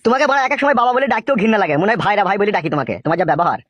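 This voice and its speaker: A man's voice: high-pitched, sing-song, rapid-fire, fairly bright tone, slight background noise. A man playing a hot-headed, crude young married son in a comic cartoon, argumentative and cursing. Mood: indignant.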